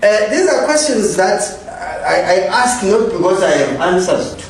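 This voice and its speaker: A man's voice: high-pitched, sing-song, normal speed. A man with a Zimbabwean accent giving a public lecture, posing rhetorical questions and questioning his audience. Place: lecture hall. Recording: echoey hall, from far off, faint noise.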